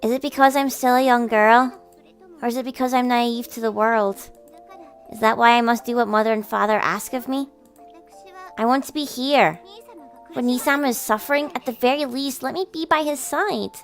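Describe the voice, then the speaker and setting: Gentle, wistful little sister for an anime-style game, polite and high-voiced, acted by a woman, over music. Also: moderate pace, close to an everyday voice. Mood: lonely, pleading. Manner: soft, polite, doting. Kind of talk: visual novel character voice in a let's play.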